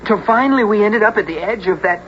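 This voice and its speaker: A performer playing a bold, excitable teenage country boy in a radio drama, with folksy rural phrasing. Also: mid-range voice, fast talker, close to an everyday voice. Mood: wondering, resolute. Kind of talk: 1970s radio drama acting and narration. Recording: muffled, hissy old radio recording.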